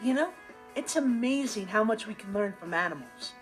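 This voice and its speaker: A young American man playing an earnest, excitable, gullible teenage boy in a sitcom, telling stories to the audience in an animated, emphatic way. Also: sits high, normal speed, faint music behind, low-quality recording. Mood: proud. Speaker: sitcom actor. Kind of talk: comedic monologue to the audience.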